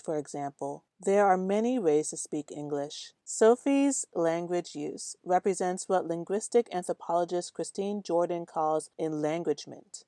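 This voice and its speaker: A woman host from Nigeria, interviewing and then reading a measured, academic narration. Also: lowish voice, mellow, no echo, studio quality. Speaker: podcast host, researcher.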